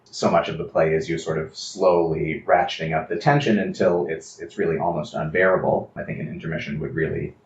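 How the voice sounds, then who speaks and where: Low-voiced male interviewer in a roomy space. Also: steady tone, fairly quick, hardly any pauses. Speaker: podcast interviewer. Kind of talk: considered interview questions. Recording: roomy, slightly muffled, faint noise.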